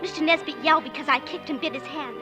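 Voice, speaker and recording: A woman's voice: high-pitched, fast talker, over music, close to an everyday voice. A woman playing a plucky, inquisitive little girl with an artless, earnest manner in a 1940s radio suspense drama. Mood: breathless storytelling.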